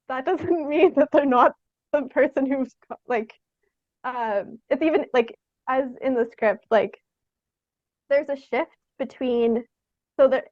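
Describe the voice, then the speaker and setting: A dry, slightly muffled recording of a young woman with a fairly high voice. She talks casually as an interviewed theatre artist, piecing her thoughts together as she goes and peppering them with "like". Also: no room sound. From Sault Ste. Marie, Canada. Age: young adult. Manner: halting, thinking aloud, frequent "like".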